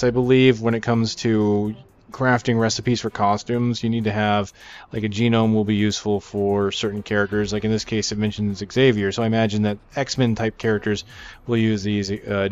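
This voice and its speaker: A man giving casual walkthrough commentary on a video game, as the narrator of a gaming video. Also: mid-range voice. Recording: slightly dull mic, game music behind. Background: video game music.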